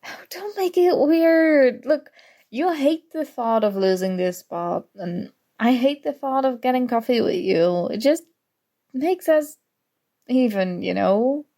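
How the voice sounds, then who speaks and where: A woman's voice: sits high, animated, slow pace, exaggerated voice. A young woman acting in an ASMR audio roleplay as a prickly but grudgingly fond student, teasing and defensive. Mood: bargaining, coy. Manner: teasing, defensive, flustered, soft-spoken tsundere.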